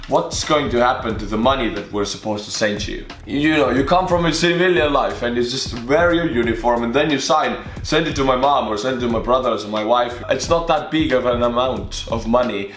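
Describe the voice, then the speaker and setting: A young Estonian-accented man talking casually and off the cuff, breaking off and restarting his sentences as he goes. His voice sits at an ordinary middle pitch. The room has a noticeable echo, with faint music underneath. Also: fairly bright tone. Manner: chatty, restarts often, talks through personal stories. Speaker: YouTube reaction host. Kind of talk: casual reaction commentary.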